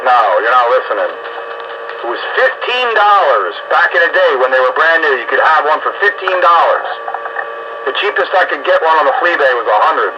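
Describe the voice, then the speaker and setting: A man with a slightly high voice talks through a muffled CB radio signal thick with noise. He is plainspoken and a bit grumbly. His delivery is casual chat, like someone hosting a radio roundup. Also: sing-song, a little fast. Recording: muffled, noisy CB radio transmission.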